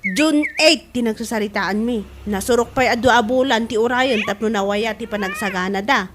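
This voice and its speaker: A woman's voice: a little high, moderate pace, noisy background, close to an everyday voice. A woman with an Ilocano accent playing a village mother in a radio comedy drama. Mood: chatty. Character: village mother defending her child's wedding.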